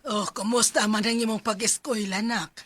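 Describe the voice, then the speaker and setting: A woman as gentle, measured middle-aged mother. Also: on the high side, steady tone, soft background music, close to an everyday voice. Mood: caring, inquiring. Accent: Cebuano. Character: gentle, understanding mother of a student. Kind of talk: radio drama acting.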